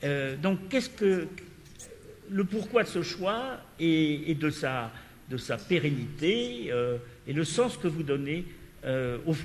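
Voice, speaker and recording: A man's voice: a little high, a little hiss or hum. A man moderating a literary panel discussion, asking long questions with many hesitations and fillers. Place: lecture hall. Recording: reverberant lecture hall.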